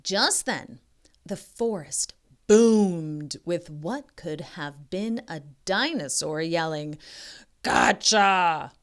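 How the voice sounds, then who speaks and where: A woman's voice: lowish voice, expressive, slow pace. A woman reading a picture book aloud expressively, stopping for teacherly asides to the listener. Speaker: reading tutor.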